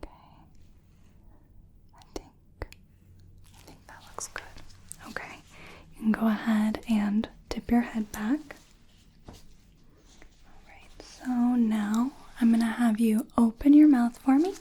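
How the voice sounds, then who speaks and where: Softly whispering, unhurried woman playing a gentle clinician. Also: fairly high pitch, fairly even intonation, slight background noise. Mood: gentle, reassuring. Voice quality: soft, breathy, often whispering. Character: gentle, attentive female clinician giving a check-up. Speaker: ASMR roleplayer playing a medical examiner. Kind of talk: soft-spoken ASMR medical roleplay.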